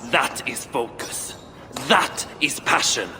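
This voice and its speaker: A man's voice: medium pitch, expressive, takes it slowly. An actor playing a stern, boastful dwarf master craftsman in a video game, with a pompous, emphatic delivery. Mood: proud, scornful. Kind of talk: video game cutscene acting. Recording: reverberant hall, faint background noise.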